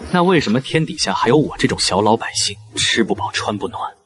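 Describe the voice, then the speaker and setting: A young man playing a sarcastic, argumentative commoner who lectures his betters in an animated web drama. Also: on the low side, fairly quick, a little hiss or hum. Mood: sarcastic, challenging.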